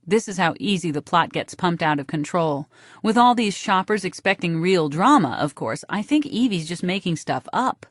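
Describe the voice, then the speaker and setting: A woman's voice: on the low side, animated, moderate pace, dry recording, studio quality. A woman reading a first-person novel as an audiobook narrator, in a dramatic scripted style.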